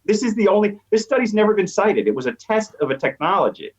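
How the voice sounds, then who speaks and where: A man's voice: sits high, very animated, quick pace, slightly rough. A man explaining research as an academic guest in an interview, eager and emphatic, on lo-fi audio. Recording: dull, lo-fi remote audio.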